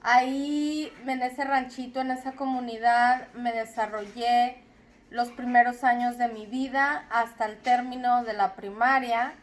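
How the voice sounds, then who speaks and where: A young adult woman from Zacatecas with a Mexican accent, slowly telling her personal story in a reflective, deliberate way. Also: on the high side, steady tone. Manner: slow, deliberate, reflective with repetitions.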